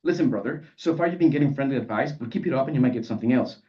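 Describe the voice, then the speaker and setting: A man's voice: mid-range voice, lively intonation, very fast, lightly acted. A man voicing a touchy, macho male grad student in a live story reading, slangy and posturing. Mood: angry, threatening. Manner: slangy, earnest, then threatening. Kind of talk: character dialogue in a live literary reading. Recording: dull sound, distant microphone.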